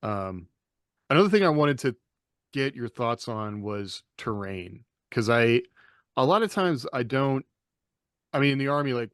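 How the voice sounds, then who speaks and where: A man's voice: fairly low pitch, a bit bright, clean tone, no echo, clean studio sound. A man moderating a casual panel discussion, musing in loosely strung phrases and putting questions to the others.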